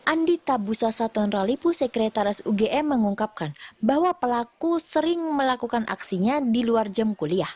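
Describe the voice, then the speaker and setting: A woman's voice: fairly high pitch, lively intonation, moderate pace, a little mellow, no echo. A woman narrating a scripted news report.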